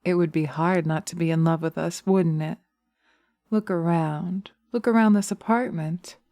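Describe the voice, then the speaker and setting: A sly, vengeful witch, played by a woman, coaxes her listener through a hypnosis roleplay. Her voice is unhurried and mellow with a creaky edge, often sinking toward an intimate whisper. She is recorded very close and dry. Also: lowish voice, steady tone, dry recording, pitched below the performer's own voice. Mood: seductive, hypnotic. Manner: slow coaxing, soothing, intimate, often slipping into whispers. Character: sly, seductive, vengeful female witch casting a hypnotic spell. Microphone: close binaural mic, dry.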